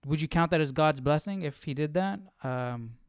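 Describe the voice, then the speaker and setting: A man's voice: a little high, normal speed. A man hosting a casual live Bible study, thinking aloud and questioning, often checking with "right?".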